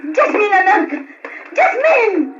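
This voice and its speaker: A woman's voice: very high-pitched, big pitch swings, slow pace, heavy background noise, heavily acted. An actress in an Ilocano radio drama playing a distraught, pleading mother with a tearful delivery. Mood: panicked. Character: distraught, pleading, prayerful mother of a troubled daughter. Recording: dull radio drama recording over music.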